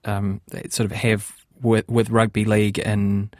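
A man's voice: fairly low pitch, flat delivery, measured pace, a little mellow, dry recording, studio quality. A man with a New Zealand accent interviewing a guest in a conversational way, thinking aloud as he asks. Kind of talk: radio interview.

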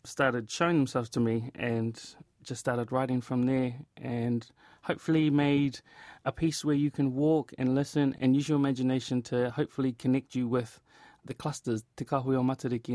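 A man with a New Zealand accent talks casually as an interview guest. His medium-pitched voice has an informal, storytelling manner. The sound is very dry and free of room echo. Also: darkish tone. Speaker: theatre director and actor being interviewed.

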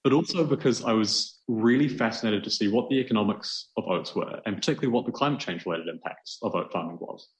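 A New Zealand man, a journalist guest, explains things conversationally and with enthusiasm. His voice is fairly low, and the recording is echoey and roomy. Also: fast talker, hardly any pauses. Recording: roomy, echoing sound.

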